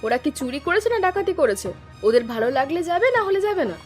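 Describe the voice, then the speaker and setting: A woman's voice: sits high, very animated, quick pace. A woman voicing a defiant, short-tempered village wife in a cartoon, blunt and challenging. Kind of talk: cartoon voice acting. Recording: music behind the voice.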